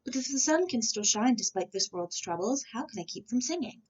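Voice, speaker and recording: A woman's voice: on the high side, expressive, brisk, husky, muffled recording, voice pushed up. A woman voicing a plucky, stubborn and brave little male rooster in a storybook, earnest and defiant. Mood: stubborn resolve. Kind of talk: storybook character voice.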